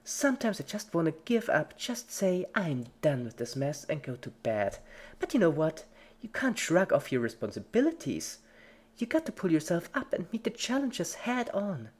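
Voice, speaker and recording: Someone doing a giggly girl party pony, high swoopy voice, jokey and creepy cheerful, horror fanfic. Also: big pitch swings, normal speed, breathy edge. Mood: chipper, reassuring. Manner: chirpy, jokey, playful while menacing.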